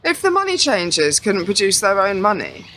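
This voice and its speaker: A woman's voice: mid-range voice, wildly swooping pitch, normal speed, fairly bright tone, slight background noise. An actor playing a curious young city woman who keeps questioning and objecting, in a radio play. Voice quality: questioning, indignant. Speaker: student character.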